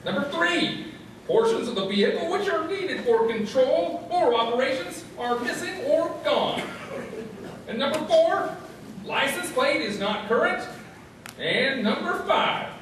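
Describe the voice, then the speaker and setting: An actor playing an officious small-town official reading a mock public service announcement in radio theatre. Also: male voice, very high-pitched, a bit bright. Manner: formal, officious. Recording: echoey hall, distant mic, faint noise.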